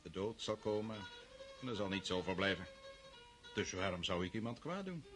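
Lively, hearty old country uncle. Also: male voice, low-pitched, normal speed, over music. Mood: mellow, contented. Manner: hearty, chuckling, rustic. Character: jovial old Russian country uncle, rustic, hearty, contented. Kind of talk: radio drama acting.

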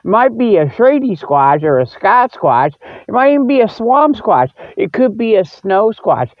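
A man with a notably high voice hosts a loose, rambling comic radio monologue. His delivery is folksy and colloquial, teasing the listener as he goes. The sound is dull and lo-fi, with very little room echo. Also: very animated, a little slow. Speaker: radio show host. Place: cold spot, apparently outdoors.

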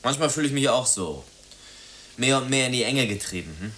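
An actor in a German radio drama playing a self-absorbed, sardonic former sailor with a flippant, wordy, theatrical way of talking. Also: male voice, mid-range voice, animated, a little fast, fairly bright tone, a little hiss or hum, close to an everyday voice. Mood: musing.

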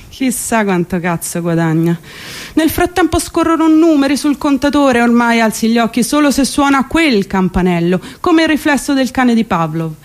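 A woman with a Roman Italian accent, performing a comic dramatic monologue in a theatrical, colloquial and exasperated way. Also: high voice. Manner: theatrical, colloquial, exasperated, foul-mouthed delivery. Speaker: stage actor performing a monologue. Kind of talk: dramatic comic monologue, first-person narration.

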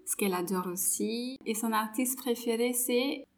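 A woman in her twenties from India, a native Marathi speaker, talking in a relaxed, hesitant way with many pauses as she thinks aloud about her studies and her mentoring. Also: fairly high pitch. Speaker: psychology master's student and volunteer mentor. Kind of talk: casual interview chat.

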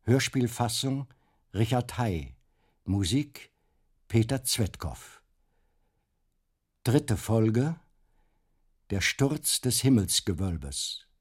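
Very slow, formal male radio announcer. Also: medium pitch, lively intonation. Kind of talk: formal scripted credits announcement.

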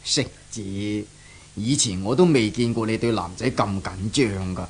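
Husky, low-voiced, indulgent father. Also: moderate pace. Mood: patient, curious. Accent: Hong Kong Cantonese. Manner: teasing, chuckling, patient. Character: indulgent middle-aged father, wry and gently teasing. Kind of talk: 1970s Cantonese radio drama acting.

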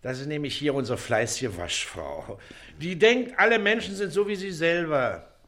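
An actor in a classic German radio play, playing a pompous, suspicious, blustering village magistrate with an officious, emphatic manner. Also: male voice, a little high, big pitch swings, normal speed, fairly bright tone. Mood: pompous, lecturing.

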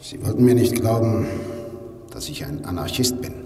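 A husky, fiery anarchist. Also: male voice, lowish voice, animated, moderate pace, big-room sound, full character voice. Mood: intense. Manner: passionate, scornful, then hushed and menacing. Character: fiery male anarchist poet, intense and scornful. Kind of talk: 1950s radio play acting.

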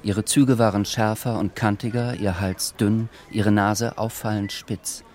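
An actor as the composed narrator of a literary radio drama, measured and precise. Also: male voice, fairly low pitch, little pitch movement, a little mellow, natural voice. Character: composed, observant male storyteller.

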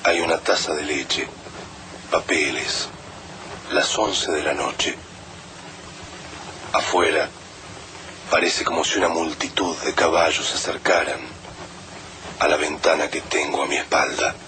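A man reading a literary passage slowly and contemplatively. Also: extremely low pitch, fairly even intonation, background noise. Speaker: reader of a literary quote.

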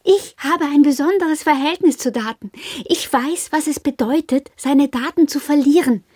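An actress playing an earnest, probing wife with memory loss in a modern radio drama, speaking in measured, matter-of-fact, formal sentences. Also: female voice, sits high, a little mellow, dry recording, clean studio sound, close to an everyday voice. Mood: insistent. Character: amnesiac wife and job seeker, earnest, probing, persistent.

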